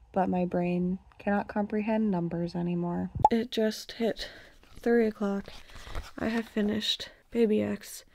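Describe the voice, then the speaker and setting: A hoarse, exhausted woman vlogging casually at home late at night, talking in a tired, rambling half-whisper. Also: mid-range voice, a little mellow, a little hiss or hum. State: exhausted from no sleep. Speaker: book vlogger.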